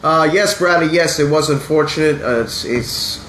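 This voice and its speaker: A man's voice: fairly high pitch, normal speed, fairly bright tone. A man in his thirties hosting a casual wrestling podcast, sounding congested from a sinus infection and talking in an earnest, blunt way that turns to swearing when he gets upset. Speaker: podcast host. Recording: echoey room with faint hum.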